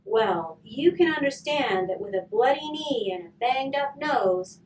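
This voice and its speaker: A woman narrates a children's storybook in a fairly high, animated voice. She phrases dramatically with emphatic pauses. The room is audible and she sits a little way from the mic. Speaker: volunteer storybook reader. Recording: roomy, distant mic, faint noise.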